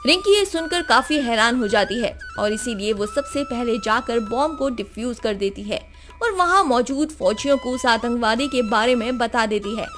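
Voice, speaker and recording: A woman narrating a scripted animated moral story. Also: fairly high pitch, normal speed. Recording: music behind the voice.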